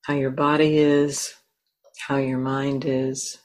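Slow, gentle woman guiding meditation. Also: a bass voice, level tone. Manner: gentle, unhurried, with long pauses. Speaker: meditation teacher. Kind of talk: guided meditation.

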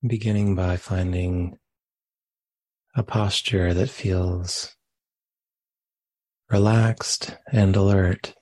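Guy leading meditation, really low husky voice, gentle, lots of pauses between phrases. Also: level tone, no room sound. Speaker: meditation teacher. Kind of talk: guided meditation, spoken live.

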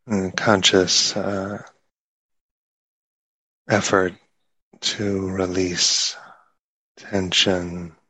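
A man guides a meditation in a low, fairly even voice. His delivery is extremely slow and halting, with long pauses between short phrases. The sound is dry and close. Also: husky, no echo, poor audio. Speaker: meditation teacher.

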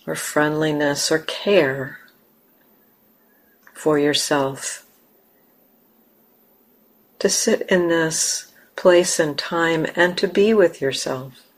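Woman leading guided meditation, gentle low voice, super slow with big pauses. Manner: gentle, unhurried, with long pauses. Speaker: meditation teacher. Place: meditation center.

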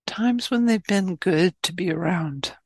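Woman doing guided meditation, lowish voice, really slow and gentle w long pauses. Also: husky, no echo, studio quality. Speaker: meditation teacher. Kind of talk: guided loving-kindness meditation.

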